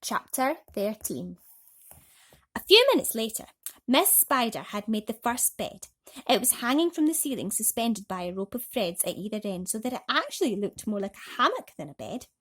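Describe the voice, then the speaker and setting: A woman narrating a bedtime story for children as an expressive storyteller. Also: fairly high pitch, moderate pace.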